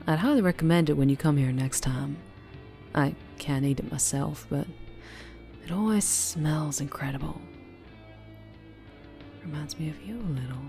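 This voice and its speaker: A self-assured Southern vampire woman, acted by a female performer, speaking low over clear music. She is flirtatious and unhurried, teasing with pet names in an ASMR audio roleplay. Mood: relaxed, flirtatious.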